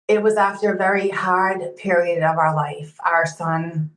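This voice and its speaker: A woman's voice: fairly low pitch, fairly even intonation, unhurried, a bit bright, roomy sound, slight background noise, rough recording. A woman guest on a podcast, casually sharing her own experiences as a fan. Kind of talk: casual personal storytelling.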